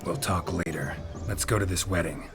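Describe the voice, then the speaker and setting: An actor playing a gruff, dour, world-weary monster hunter in a video game, with terse, dry, deadpan delivery. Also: male voice, low-pitched, moderate pace, close to an everyday voice. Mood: weary, firm. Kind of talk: video game character acting. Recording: music behind the voice.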